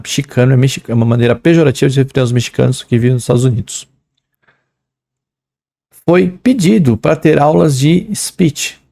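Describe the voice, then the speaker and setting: A man's voice: medium pitch, gravelly, mellow. A young adult man with a São Paulo accent teaching an English exam lesson, chatty and slangy, reading English lines and translating them. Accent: São Paulo Portuguese. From São Paulo, Brazil.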